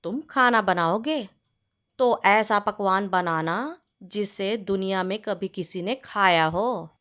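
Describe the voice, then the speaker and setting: A woman's voice: medium pitch, takes it slowly, fairly bright tone, voice pushed down. A woman voicing a fussy, bossy male village landlord in a storybook tale, demanding and curt in manner. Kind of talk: storybook character voice in narrated tale.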